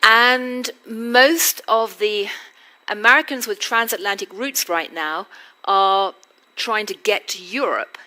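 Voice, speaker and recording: A woman's voice: mid-range voice, slow pace, bright, forceful tone, poor audio. A British woman interviewing a guest on stage before a live audience, with a witty, teasing manner. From Britain. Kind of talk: onstage conversation.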